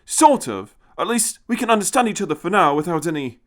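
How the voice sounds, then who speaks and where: A man's voice: high-pitched, big pitch swings, a little fast, a bit bright, voice pushed up. A man voicing a polite, hesitant male human space traveller in a narrated science fiction story. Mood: hesitant, conciliatory.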